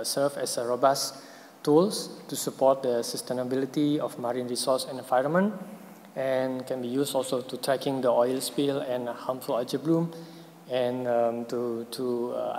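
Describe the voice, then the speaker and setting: A man's voice: mid-range voice, flat delivery, normal speed, a little mellow. Man, conference presenter, hesitant, filler-heavy, hall reverb, light background noise. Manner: hesitant, many fillers and restarts. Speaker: presenting researcher.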